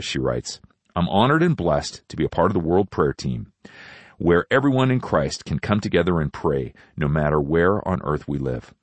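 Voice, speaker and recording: A man hosting a radio Bible program, reading listener letters in an earnest, measured way. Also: low-pitched, no room sound.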